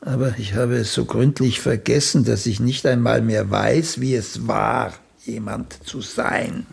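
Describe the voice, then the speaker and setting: A male actor in a German radio play, playing an older celebrated playwright who is a condescending, bluntly critical mentor with a gravelly, husky voice. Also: mid-range voice, steady tone, measured pace, a little mellow, full character voice. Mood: reciting slowly.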